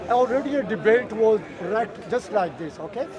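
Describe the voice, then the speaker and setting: A man's voice: sits high, animated, moderate pace, crowd noise. An older man debating outdoors in a park, insistent and repetitive in his questions. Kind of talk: street debate.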